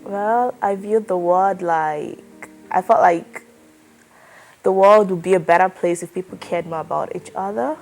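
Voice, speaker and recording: A woman in her twenties from Nigeria, answering an oral history interview in a relaxed, chatty way with lots of fillers. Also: fairly low pitch, measured pace, faint music behind, low-quality recording. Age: 20s. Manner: relaxed, chatty, many fillers like "like" and "you know".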